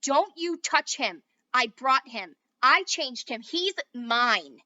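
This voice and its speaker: A woman voicing an excitable, possessive young boy who talks loudly and insistently. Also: sits high, slow, no room sound, exaggerated voice. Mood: defiant. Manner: loud, yelping and insistent. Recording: dull, dry sound.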